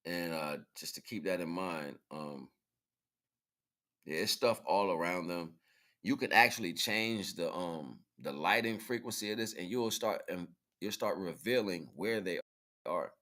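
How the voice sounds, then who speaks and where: A man with an extremely low voice talks as a livestream host. His delivery is casual and rambling, full of slang and halting fragments, as he gives informal spiritual commentary. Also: sharp tone.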